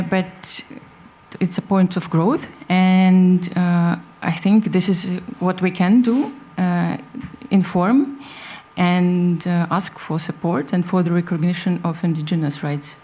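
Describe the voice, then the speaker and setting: Female panelist from Russia, warm low voice, composed and earnest. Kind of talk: panel discussion.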